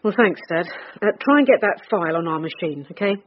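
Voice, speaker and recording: An actress playing a brisk, dogged British police detective in charge, reasoning aloud in a naturalistic radio drama. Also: female voice, lowish voice, lively intonation, natural voice. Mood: businesslike. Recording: dull radio recording with faint noise.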